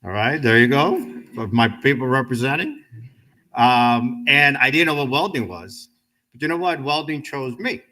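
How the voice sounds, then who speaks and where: A man in his fifties from the Dominican Republic speaks at a ceremony. His voice is bright and forceful, and he tells stories in an animated, joking way and throws questions at the audience. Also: medium pitch, moderate pace, low-quality recording. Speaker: guest speaker at a graduation ceremony. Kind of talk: motivational speech with personal stories. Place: event hall with a live audience.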